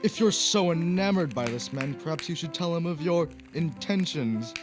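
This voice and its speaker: A man's voice: fairly high pitch, animated, normal speed, faint music behind, natural voice. A man acting a pompous, temperamental aristocratic poet in a period radio drama, theatrical and condescending. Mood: mocking.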